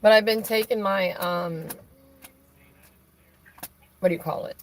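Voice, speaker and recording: A woman with a hoarse, raspy voice chatting casually on a live stream, rambling with many fillers and restarts. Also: medium pitch, unhurried, slight background noise, low-quality recording. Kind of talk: casual chat on a phone call during a stream.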